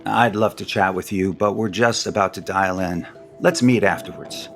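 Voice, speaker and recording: Middle-aged boardroom guy, low creaky voice, diplomatic, soap opera style. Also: normal speed, faint music behind. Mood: diplomatic, measured. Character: smooth, diplomatic male business board member. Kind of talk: audio soap opera acting.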